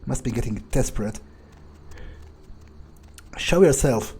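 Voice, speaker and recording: A man playing a wary, ruthless vampire on the hunt, thinking aloud in halting, hesitant phrases over a video game. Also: mid-range voice, darkish tone, slightly rough. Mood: menacing, taunting. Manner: musing, hesitant, halting self-corrections. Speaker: let's player role-playing a game character. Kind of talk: in-character improvised gameplay narration, thinking aloud.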